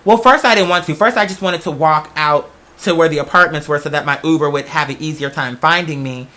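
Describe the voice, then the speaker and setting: A host with a bright, forceful voice at a middling pitch talks casually and directly to followers. The delivery is candid and rambling, full of familiar slang, like an unscripted chat with friends. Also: fairly quick. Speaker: online show host. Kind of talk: casual conversational monologue to followers.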